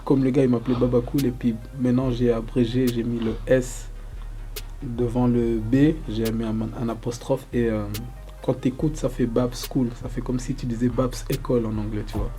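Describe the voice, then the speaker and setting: A young man from Congo answering interview questions casually, loose and relaxed, with lots of fillers. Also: mid-range voice, normal speed, faint music behind. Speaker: rapper interviewee.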